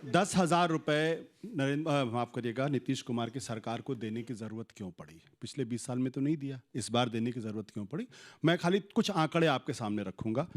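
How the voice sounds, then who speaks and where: A man with an Indian accent and a sore throat making a measured, rhetorical case in a political debate. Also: medium pitch. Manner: measured, rhetorical, citing figures. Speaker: debate panelist. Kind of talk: argumentative political debate speech.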